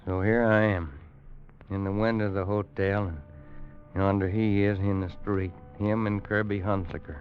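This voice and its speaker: An actor playing an older, plainspoken cowboy who narrates a radio western in a folksy drawl with slow, dramatic pauses. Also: male voice, fairly low pitch, level tone, music in the background, lightly acted. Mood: tense, grave. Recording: muffled old-time radio recording.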